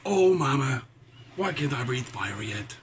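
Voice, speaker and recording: A man's voice: mid-range voice, unhurried. A man voicing an eager, impatient little boy dragon from a children's storybook, calling out in a pleading, childlike manner. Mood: sad, pleading. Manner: excited, pleading calls to his mama. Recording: noisy, with background ambience.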